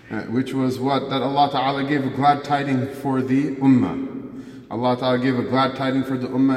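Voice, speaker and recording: A man's voice: medium pitch, darkish tone. A man delivering a Friday sermon who asks rhetorical questions and quotes scripture in Arabic. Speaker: preacher giving a Friday sermon. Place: mosque prayer hall. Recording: echoey hall, voice from far off.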